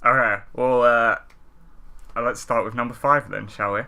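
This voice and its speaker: A man's voice: medium pitch, steady tone, moderate pace, vocal fry, pushed and bright. A young man co-hosting a podcast, chatting casually and bluntly with plenty of swearing. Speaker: podcast co-host. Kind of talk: casual chat, counting down favourite films.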